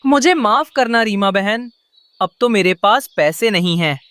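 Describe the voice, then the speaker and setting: A woman's voice: mid-range voice, lively intonation, measured pace, a bit bright, no room sound. Woman voicing an apologetic male pigeon, dry sound.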